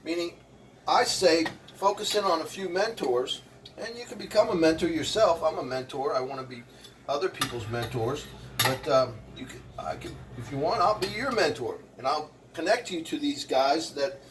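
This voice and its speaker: A man's voice: on the high side, moderate pace, distant mic, noisy background. Chatty older man in his kitchen. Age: 60s. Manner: rambling, chatty, animated asides to the viewer. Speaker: vlogger and motivational speaker. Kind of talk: casual chat while cooking in a kitchen. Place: home kitchen.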